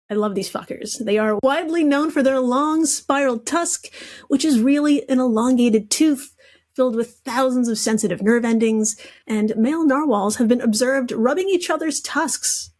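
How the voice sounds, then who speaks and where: Woman, higher-pitched voice, playful and sweary, running a casual comedy quiz on a podcast. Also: a little mellow. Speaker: podcast guest posing quiz questions.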